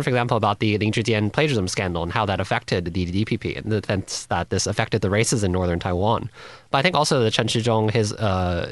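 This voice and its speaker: Rapid-talking male political analyst. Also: on the low side, fairly bright tone, dry recording. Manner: hedging, analytical, lots of "I think". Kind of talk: news panel analysis.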